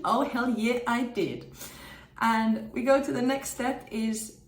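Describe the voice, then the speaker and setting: A woman's voice: on the high side, darkish tone. Upbeat woman in an echoey room. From Finland. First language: Finnish. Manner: upbeat, emphatic repetition. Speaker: presenter and communication trainer. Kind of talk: direct-to-camera tips video.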